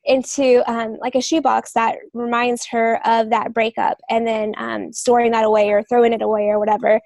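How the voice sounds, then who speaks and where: A woman's voice: a little high, flat delivery, moderate pace, vocal fry, a little mellow, no echo. A woman co-hosting a podcast, giving writing advice in an informal, explanatory, conversational way. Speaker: podcast co-host, novelist.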